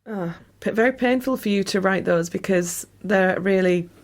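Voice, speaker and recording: A woman's voice: medium pitch, normal speed, a little hiss or hum. A woman hosting a conversational radio interview and chatting with her guest.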